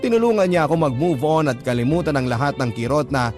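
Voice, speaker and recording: A man's voice: on the high side, animated, fast talker. A man reading a first-person letter aloud in a reflective, heartfelt manner. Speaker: letter narrator. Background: violin music.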